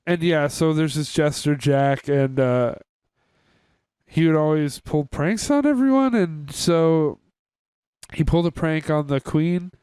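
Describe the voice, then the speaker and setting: A man's voice: a little high, measured pace, a little mellow, clean tone, dry recording. A man co-hosting an informal chat, recapping in a loose, hesitant way with casual swearing. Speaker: podcast co-host. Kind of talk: casual chat reviewing cartoon episodes.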